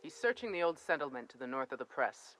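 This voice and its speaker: An actor playing a weary frontier soldier with a drawl, casual and folksy. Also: male voice, mid-range voice, big pitch swings, fast talker, noisy background, close to an everyday voice. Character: weary frontier soldier in trouble, adult man.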